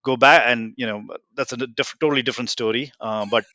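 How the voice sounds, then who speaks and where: A man's voice: medium pitch, steady tone, a little fast, sharp tone, dry recording, low-quality recording. A man in his forties from India with an Indian accent, a guest telling his story in a rambling, self-deprecating way with lots of fillers. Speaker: guest, tech company founder. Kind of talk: casual interview talk.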